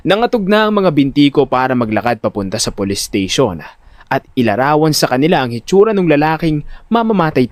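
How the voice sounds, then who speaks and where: A man with a Filipino accent playing a jittery, vain but good-natured young everyman who tells his own story in an audio drama, expressive and dramatic. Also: a little high, dry recording. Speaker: narrator and lead actor. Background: music.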